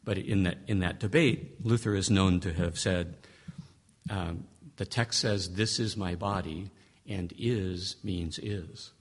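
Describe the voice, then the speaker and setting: A man's voice: low-pitched, takes it slowly, slight background noise. A man giving a conversational lecture on reading scripture, emphatic and fond of rhetorical questions, often restarting his phrases. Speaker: Bible teacher.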